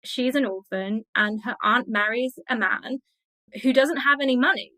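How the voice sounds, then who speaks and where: A woman with a fairly high, very bright voice talks as an academic guest in an interview. She explains things conversationally, with enthusiasm and emphasis, in a close, dry recording. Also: moderate pace, no room sound, poor audio.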